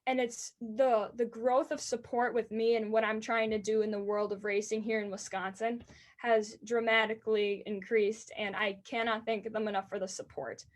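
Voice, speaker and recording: An eighteen-year-old woman with a high-pitched voice, earnestly answering interview questions about racing announcing. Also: level tone. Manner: earnest and enthusiastic, quick bursts.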